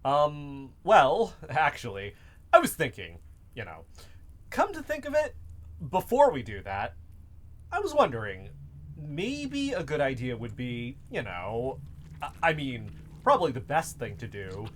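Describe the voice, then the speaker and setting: A man's voice: medium pitch, wildly swooping pitch, measured pace. An actor playing a nervous, evasive young man who stammers and rambles hesitantly in a naturalistic audio drama. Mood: nervous, stalling. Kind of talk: naturalistic fiction podcast acting.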